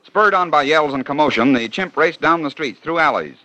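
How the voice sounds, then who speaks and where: A man's voice: fairly high pitch, lively intonation, brisk, pushed and bright. A man hosting an old radio feature, narrating odd stories in a genial, showman-like scripted style. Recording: dull old radio transcription.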